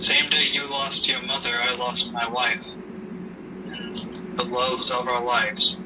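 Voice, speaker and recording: A man playing a grieving widowed father who gently counsels his daughter in a halting, measured way in an amateur audio drama. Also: level tone, highs cut off, lightly acted. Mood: grieving, subdued. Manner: halting, subdued, measured delivery. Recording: noisy rumbling background, distant mic.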